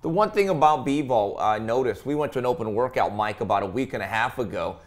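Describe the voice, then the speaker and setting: A man's voice: mid-range voice, expressive, quick pace. A man hosting a sports panel, talking casually and conversationally like someone telling stories among colleagues. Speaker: host of a boxing talk show.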